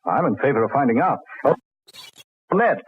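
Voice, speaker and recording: Husky, animated gentleman adventurer. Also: mid-range voice, normal speed, no room sound. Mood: resolute. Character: dashing, indignant film-star yachtsman adventurer. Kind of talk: old-time radio drama acting. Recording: tinny band-limited old radio recording.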